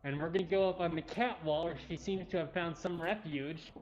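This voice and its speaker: Low-voiced woman, casual livestream host. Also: steady tone, a little fast, a little mellow. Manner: informal, affectionate talk to animals. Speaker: cat cafe livestream host. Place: indoors in a cat cafe. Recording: dull livestream audio, music behind.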